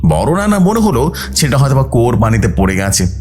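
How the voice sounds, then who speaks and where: A man's voice: mid-range voice, very animated, fast, a little mellow, soft background music. A man hosting and narrating horror stories in a dramatic, suspenseful way, drawing out key words for emphasis. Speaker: host of a horror story channel. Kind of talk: dramatic scripted horror storytelling.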